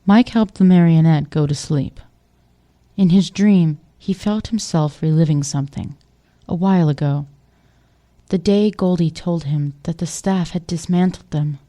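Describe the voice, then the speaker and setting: A woman narrates an audio drama from a script. Her voice is dark and mellow, pitched slightly low. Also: measured pace.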